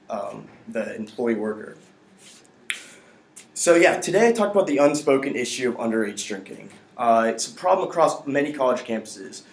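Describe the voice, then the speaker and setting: A young American man giving a prepared classroom presentation in a halting, hesitant way with frequent ums. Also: mid-range voice. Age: young adult. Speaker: student speaker. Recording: echoey room.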